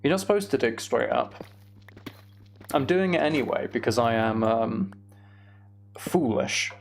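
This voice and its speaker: A man's voice: medium pitch, expressive, unhurried, vocal fry, slight background noise. A man chatting casually as he livestreams a game, with self-deprecating, muttered asides to himself. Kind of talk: casual gaming livestream chat with commentary.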